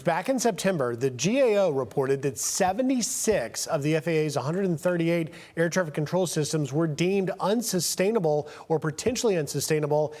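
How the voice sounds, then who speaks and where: A man's voice: on the high side, darkish tone. A man in his fifties with an American accent, anchoring live television news and interviews. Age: 50s.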